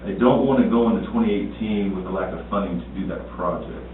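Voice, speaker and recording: A man's voice: on the low side, fast talker. A man, a town manager, explaining budget and sidewalk repairs at a town board meeting, in a plain-spoken way with many fillers and restarts. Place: council meeting room. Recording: echoey, distant, phone-like, noisy meeting recording.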